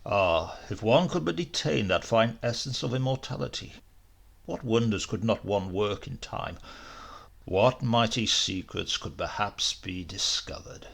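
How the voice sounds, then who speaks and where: Man playing an inquisitive doctor, very animated. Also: on the low side, unhurried, creaky, pitched below the performer's own voice, exaggerated voice. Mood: musing. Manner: inquisitive, musing. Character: curious, excitable male Italian doctor, the professor's friend. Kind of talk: dramatised horror audiobook.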